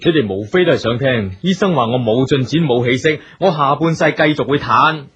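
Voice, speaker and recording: An actor playing a bitter, suspicious eldest brother, a wheelchair-bound company boss, scornful and domineering in a radio drama. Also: male voice, medium pitch, a little fast, a bit bright, natural voice. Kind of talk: Cantonese radio drama acting. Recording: dull radio drama recording, music behind.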